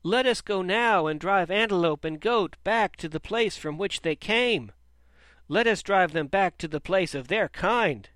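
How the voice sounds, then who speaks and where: A man voicing a frightened, angry crowd of sheep, loud and wailing. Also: fairly high pitch, lively intonation, a little slow, no echo, voice pushed up. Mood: shouting.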